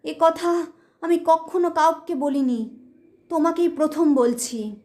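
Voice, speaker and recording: A woman's voice: high voice, takes it slowly, a little mellow. A woman voicing a shaken, urgent husband who is haunted, in an audio ghost story. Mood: grave.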